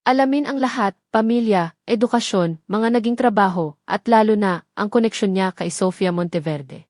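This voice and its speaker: A woman's voice: mid-range voice, moderate pace, darkish tone, no room sound, studio quality, lightly acted. A woman narrator voicing a wary, commanding businessman giving firm orders in an audio drama, with a Filipino accent.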